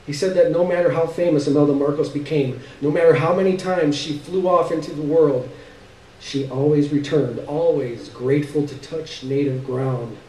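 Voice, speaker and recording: Higher-voiced man reading aloud, echoey room. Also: normal speed, darkish tone. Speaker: story reader. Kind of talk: dramatic literary reading on stage. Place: live stage reading in a room. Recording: echoey room, distant mic, faint noise.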